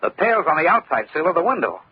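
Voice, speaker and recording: An actor playing a confident, brisk male insurance investigator in an old-time radio drama, with a clipped, commanding manner. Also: a little high, very animated, breathy edge, heavily acted. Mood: suspicious. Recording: muffled vintage radio recording.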